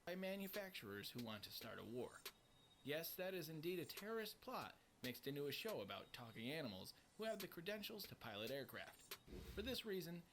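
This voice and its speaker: A man reading a scripted countdown narration with a lively, tongue-in-cheek delivery. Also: on the high side, brisk, background noise.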